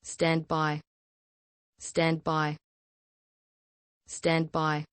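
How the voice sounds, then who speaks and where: Female emergency-system voice, low register, monotone, measured and official-sounding scripted read. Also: smooth. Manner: formal, impersonal, measured. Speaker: emergency alert announcer. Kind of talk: scripted emergency broadcast announcement.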